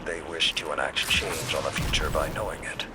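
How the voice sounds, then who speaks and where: Casting: a stern warrior with a cavernous, hushed voice, loud score behind. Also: male voice, a bass voice, normal speed. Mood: grave. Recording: game cutscene with loud music.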